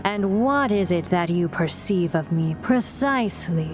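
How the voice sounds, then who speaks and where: A woman's voice: lowish voice, expressive, a little slow. Woman acting an icy admiral over music. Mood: cold, disdainful. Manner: formal, icy, clipped. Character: cold, authoritative female admiral. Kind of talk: science fiction audio drama acting.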